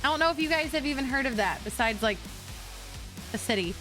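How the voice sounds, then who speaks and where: A woman's voice: high voice, expressive, brisk, pushed and bright, soft background music. A young adult woman from Kentucky, chatting casually on a live stream and answering her chat.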